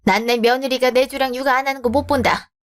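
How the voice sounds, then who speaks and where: A woman's voice: high-pitched, fairly even intonation, fast talker, close to an everyday voice. A woman voicing a haughty, scornful middle-aged future mother-in-law in a radio drama, talking down and scolding. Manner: condescending, scolding, talks down.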